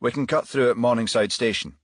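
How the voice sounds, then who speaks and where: A man voicing a dutiful junior police officer in an audiobook, briskly and deferentially reporting to his boss. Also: mid-range voice, dry recording, clean studio sound, voice pushed up, close to an everyday voice. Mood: eager, businesslike.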